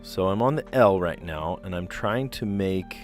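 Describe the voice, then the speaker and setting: A man's voice: deep voice, animated, a little slow, faint music behind. A man in his forties, livestreaming himself solving word puzzles and thinking aloud, easily amazed and chuckling, close to a desk microphone at home. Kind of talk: casual livestream commentary. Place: home room, indoors. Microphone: close podcast mic on a desk.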